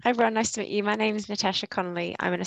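A woman's voice: mid-range voice, steady tone, very fast, creaky, no room sound. A woman with an Australian accent, speaking as a panel guest in a webinar, earnest and professional. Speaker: sustainability consultant, panel guest. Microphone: video call.

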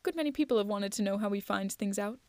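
A woman's voice: mid-range voice, quick pace, no echo, natural voice. A woman voicing a wry, teasing male go-between in a storybook reading, with a light, lilting manner. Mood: wry, amused.

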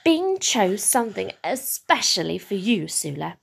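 Woman voicing a patient, slow-spoken storybook caregiver. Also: mid-range voice, big pitch swings, vocal fry, slightly rough, exaggerated voice. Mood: reassuring. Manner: reassuring, measured.

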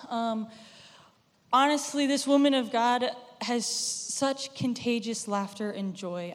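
A woman warmly introducing a chapel speaker to the audience. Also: fairly high pitch. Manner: warm, enthusiastic introduction. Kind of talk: stage introduction. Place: large chapel hall. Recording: live chapel hall with long reverb.

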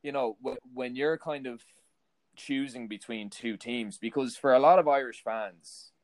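A man's voice: mid-range voice, a little slow. A man hosting an interview, asking long, rambling questions full of fillers like "you know" and "kind of". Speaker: podcast host, interviewer.

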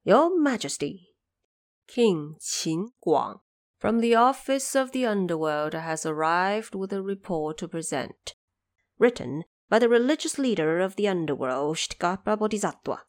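A woman voicing a formal, deferential court official with a ceremonial, drawn-out delivery in an audio drama. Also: mid-range voice, expressive, lightly acted. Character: formal court official announcing petitioners to the emperor.

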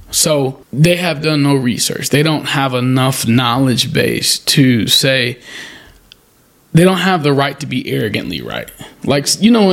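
A man hosts a podcast in a casual, conversational voice. His pitch sits mid-range with a gravelly texture, and his talk wanders through "like" and "you know" as he works through his points. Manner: loose, conversational, many fillers. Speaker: podcast host.

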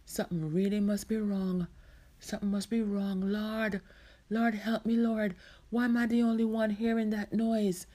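A woman storyteller voicing an excitable, dramatic Jamaican woman who exclaims and questions herself aloud, with a Jamaican accent. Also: mid-range voice, normal speed, lightly acted. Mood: frightened, pleading. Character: excitable Jamaican woman, easily delighted, growing bewildered and panicky. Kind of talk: acted oral storytelling.

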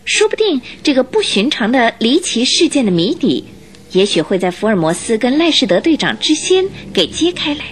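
A woman reading scripted narration for a radio drama in an expressive storytelling manner. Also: high voice, moderate pace.

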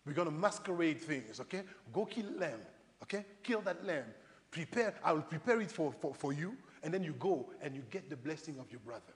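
A man's voice: on the high side, lively intonation, brisk. A man preaching in a conversational way, putting rhetorical questions straight to his congregation and telling Bible stories. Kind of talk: animated sermon with acted-out Bible stories. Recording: reverberant hall, long echo.

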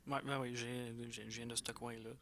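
A man with a Quebec French accent in a casual chat, telling anecdotes in an animated, slangy way peppered with swearing. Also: mid-range voice, a little fast, slight background noise. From Quebec, Canada.

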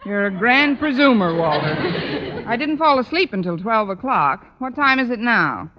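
An actress playing a weary, sardonic schoolteacher, dry and wisecracking, in a vintage radio comedy. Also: female voice, a little high, big pitch swings, moderate pace. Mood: sleepy sarcasm. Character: wry, sardonic, weary adult woman schoolteacher. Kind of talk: 1940s-50s radio comedy acting. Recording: muffled, noisy old-time radio recording.

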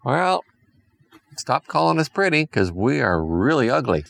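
A man voicing an excitable, unhinged male space crewman in a bedtime story, talking in a jittery rush. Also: mid-range voice, a little slow, creaky, voice pushed up, exaggerated voice. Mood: frantic.

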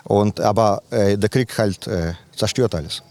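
A man from Ukraine, a volunteer helper, answering interview questions in a halting way with many fillers and restarts. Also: fairly low pitch, darkish tone, dry recording.

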